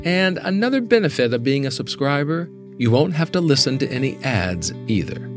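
A man's voice: mid-range voice, sing-song, moderate pace. A man delivering a scripted promotional read as a podcast announcer. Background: plucked guitar music.

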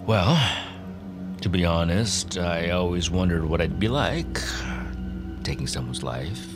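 An actor playing a smug, condescending male boss in an audio drama, suspicious and self-absorbed, with a halting way of talking. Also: sits low, animated, music in the background. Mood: musing. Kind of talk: naturalistic audio drama acting.

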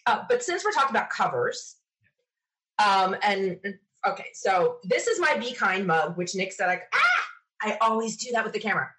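A woman's voice: medium pitch, big pitch swings, normal speed, sharp tone. A middle-aged woman chatting casually as a podcast guest, telling stories in an opinionated, emphatic way. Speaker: podcast guest being interviewed. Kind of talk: casual conversational storytelling.

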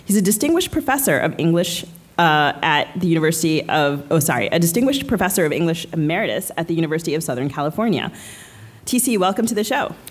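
Female podcast co-host, distinctly low voice, presenting live on stage. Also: sing-song, fast talker, husky. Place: live book fair stage.

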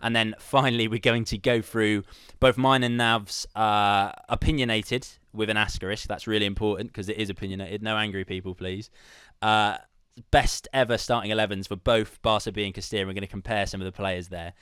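A man's voice: lowish voice, steady tone, fairly quick, a bit bright, clean tone, no room sound, studio quality. A man hosting a casual sports podcast, talking in an informal, chummy way with his guest. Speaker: podcast host.

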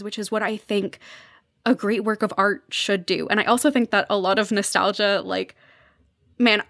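A woman's voice: medium pitch, a bit bright, no echo, clean studio sound. A woman speaking as a podcast guest, giving thoughtful conversational commentary in an earnest, reflective and emphatic way.

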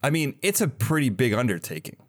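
A man hosts a casual interview. His pitch swings widely in a lively way as he chats and asks questions. His phrasing is informal and hedging. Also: brisk. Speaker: podcast host, interviewer.

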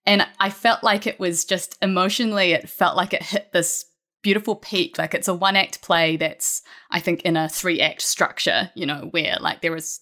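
Informal, lower-pitched woman, enthusiastic guest. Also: pushed and bright. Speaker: writer and lyricist interviewed as a guest. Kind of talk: casual interview chat.

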